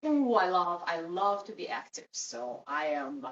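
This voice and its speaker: A woman's voice: fairly low pitch, sing-song, unhurried, dull audio. A woman from Russia with a Russian accent, chatting casually and giggling often on a date. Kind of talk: casual conversation. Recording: echoey room, distant mic, light noise.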